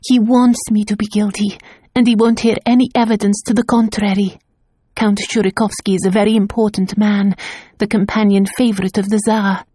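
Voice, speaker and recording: A woman voicing a frightened young wife, husky. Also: mid-range voice, flat delivery, normal speed, no room sound, studio quality, lightly acted. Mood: urgent. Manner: soft and pleading.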